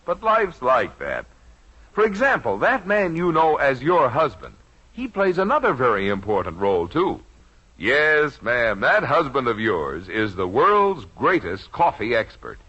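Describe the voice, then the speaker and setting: An American man working as a commercial announcer, giving a folksy, persuasive 1950s radio sales pitch. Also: wildly swooping pitch, unhurried, pushed and bright, husky. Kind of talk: 1950s radio ad read, smooth-talking pitch. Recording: muffled old radio recording.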